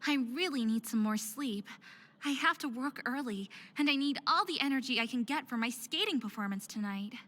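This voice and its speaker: An actress playing a sensitive, anxious young hybrid woman in a visual novel, introspective and expressive. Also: high-pitched, a little fast. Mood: weary, uneasy. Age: young adult. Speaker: lead character narrator. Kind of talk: visual novel voice acting, soliloquy and diary narration.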